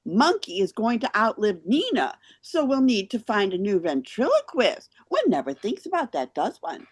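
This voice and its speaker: A woman hosting a theater show, chatting with guests and giving spoken reviews in an expressive way. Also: mid-range voice, normal speed, husky.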